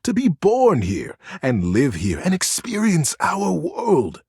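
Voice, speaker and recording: A man voicing a gentle, earnest male teddy bear in a video game, telling bedtime stories in a soothing, expressive way with dramatic pauses. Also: fairly high pitch, slightly rough, no room sound, clean studio sound, exaggerated voice. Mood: earnest narrating.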